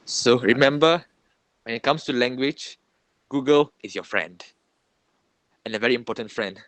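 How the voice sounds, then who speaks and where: A man's voice: medium pitch, moderate pace, a bit bright, dry recording. A young Malaysian man whose first language is Tamil, co-hosting a casual podcast, rambling and repeating himself with a Malaysian English accent. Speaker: podcast co-host. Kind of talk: casual chat.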